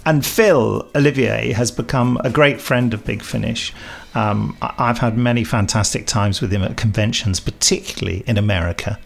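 A man's voice: lowish voice, moderate pace, gravelly, few pauses. A middle-aged English man hosting a podcast in casual, jokey chat, with a playful, mock-dramatic manner. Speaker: podcast host.

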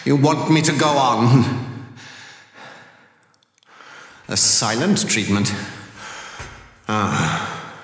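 A man's voice: mid-range voice, big pitch swings, very slow, pauses often, exaggerated voice. A man playing a defiant, desperate escaped prisoner under interrogation in an audio drama, intense and indignant. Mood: weary, wary. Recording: very echoey hall, loud music behind.

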